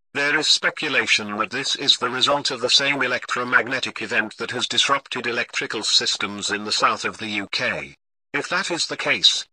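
A man playing a calm, formal television emergency news announcer, reading a scripted bulletin in a measured way. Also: medium pitch, dry recording, rough recording. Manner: formal, measured, read-out delivery.

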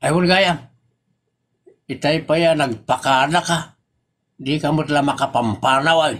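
A man's voice: fairly high pitch, measured pace, a bit bright. A man with an Ilocano accent playing a grumbling, easily flustered older husband in a comedy audio drama, with blustering exclamations. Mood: flustered, suspicious.

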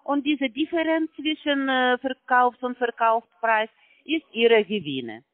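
A man who is not a native German speaker, making a sales pitch on a phone call in halting, broken German full of fillers. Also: no echo. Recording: phone line, dry.

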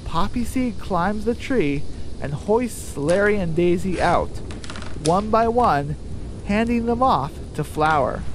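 A man narrating a scripted radio drama story in measured sentences. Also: high-pitched, a little hiss or hum.

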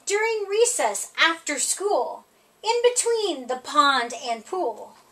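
A middle-aged woman reads a children's picture book aloud, with expressive, sing-song emphasis on the rhymes. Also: high voice, slow pace, slight background noise, rough recording. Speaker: storytime reader.

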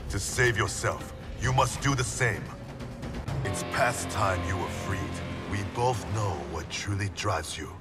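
An actor playing a grave, battle-hardened ninja clan leader in a video game, speaking with formal, solemn intensity. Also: male voice, on the low side, measured pace, over loud music, lightly acted. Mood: stern, urgent. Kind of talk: dramatic video game cutscene acting.

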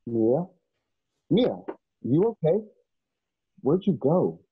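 A man playing a gentle, worried young man in a radio drama, husky and hesitant, on muffled call audio. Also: on the high side, sing-song, slow, no room sound, full character voice. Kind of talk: radio drama acting over video call.

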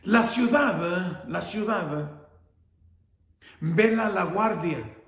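A man in his fifties, a pastor with a northern Mexican Spanish accent, preaching slowly and emphatically from his home, repeating key words. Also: sits high, a bit bright. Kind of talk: sermon. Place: home living room, indoors. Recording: roomy echo.

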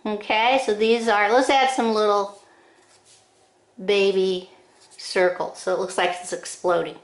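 An older woman giving step-by-step drawing instructions in short, deliberate phrases with frequent pauses, recorded in an echoing room. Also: medium pitch, steady tone. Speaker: drawing teacher. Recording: roomy, echoing indoor sound.

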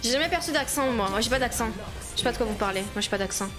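High-voiced playful woman streamer. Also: animated, very fast. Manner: playful, chatty, laughs often. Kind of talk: casual gaming chat. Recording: game music behind.

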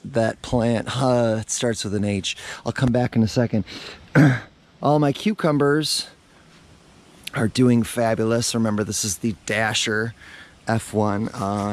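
A man in his thirties, hoarse after losing his voice, hosting a relaxed garden tour outdoors with a lapel mic, talking casually with lots of fillers. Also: fairly low pitch. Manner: chatty, relaxed, with many fillers. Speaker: gardening channel host.

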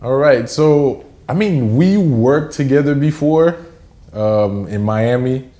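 A man hosting a casual interview in a relaxed manner. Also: medium pitch, slow pace. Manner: relaxed, switching between English and Haitian Creole. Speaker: interviewer, host. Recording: roomy echo.

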